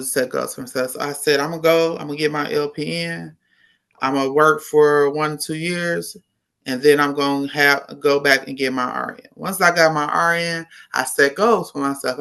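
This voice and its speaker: Woman, fifties, nurse guest, bright forceful tone, unhurried and reflective. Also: deep voice. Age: 50s. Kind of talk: casual interview conversation.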